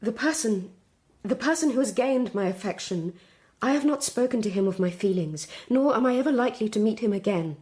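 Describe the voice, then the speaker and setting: An actress playing a resolute, unhappy young Victorian bride-to-be with an English accent, speaking formally and gravely in a period audio drama. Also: female voice, fairly high pitch, lively intonation, brisk, a little mellow, lightly acted. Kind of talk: period radio drama acting.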